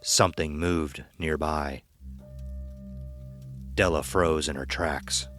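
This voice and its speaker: A man narrating a scripted horror story in a measured, dramatic, suspenseful way. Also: rumbling low, steady tone, a bit bright, soft background music.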